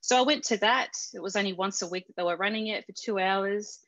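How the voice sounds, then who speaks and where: A woman's voice: mid-range voice, a little fast, fairly bright tone, no echo, muffled recording. An Australian woman telling a personal story in a casual interview, chatty, with many fillers and restarts. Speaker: interview guest.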